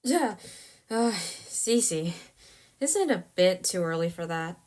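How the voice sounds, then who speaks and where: A woman's voice: lowish voice, very animated, takes it slowly, a little mellow. Woman as an awkward, wry young camera operator. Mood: amused. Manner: hesitant, stammering, wry. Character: awkward, softly sarcastic young camera operator, easily flustered. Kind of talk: comedic audio drama acting. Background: music bed.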